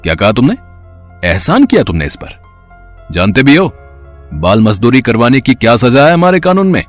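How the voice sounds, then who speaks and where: Male actor as caring fatherly gentleman, emphatic, cartoon dialogue, expressive melody, soft music behind. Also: mid-range voice, normal speed, close to an everyday voice. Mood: indignant. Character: kind-hearted, protective man befriending a poor boy. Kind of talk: acted cartoon story dialogue.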